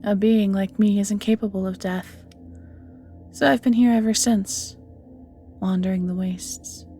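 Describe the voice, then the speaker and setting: A woman's voice: medium pitch, steady tone, unhurried, dark tone, close to an everyday voice. A woman playing an ancient banished evil magical being in an audio roleplay, flirty and world-weary, musing theatrically and often sinking to near-whispers, with music behind. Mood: rueful. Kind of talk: scripted audio roleplay acting, addressing the listener.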